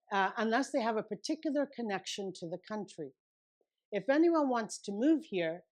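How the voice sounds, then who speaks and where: A woman giving a relaxed, conversational lecture, thinking aloud and taking questions. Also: mid-range voice. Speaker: guest lecturer. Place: lecture room.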